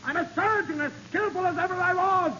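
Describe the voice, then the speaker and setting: An actor playing a deranged, cornered former surgeon in a 1940s radio drama, speaking in a theatrical, grandiose, agitated way. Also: male voice, very high voice, a little fast, pushed and bright. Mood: grand, defiant. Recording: scratchy, dull 1940s radio recording.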